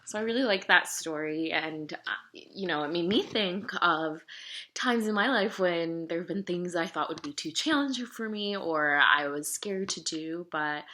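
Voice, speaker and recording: A woman reading a children's picture book aloud in an expressive, dramatic storytelling manner. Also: on the low side, normal speed. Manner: animated, dramatic storytelling delivery. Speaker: storybook reader. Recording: roomy, echoing room sound.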